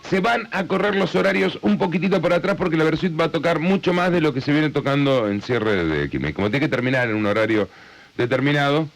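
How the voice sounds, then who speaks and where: A man's voice: fairly high pitch, lively intonation, brisk, creaky. A man with an Argentine accent hosting a radio show in a casual, bantering style.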